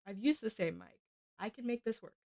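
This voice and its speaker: A woman's voice: mid-range voice, wildly swooping pitch, fairly quick, darkish tone, no echo, clean studio sound. A woman streaming from her home setup on a desk mic, chatting casually with her viewers and thanking subscribers, a little stuffy from a cold. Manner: chatty, upbeat, talks to chat and thanks subscribers. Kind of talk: casual live-stream chat. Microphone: desk microphone with headphones.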